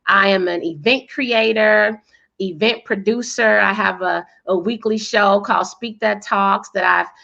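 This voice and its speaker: A woman's voice: mid-range voice, steady tone, measured pace, gravelly, muffled recording. An American woman giving articulate, emphatic answers as an interview guest, with a powerful, self-assured voice. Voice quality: loud, powerful voice. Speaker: interview guest, PR coach and speaker.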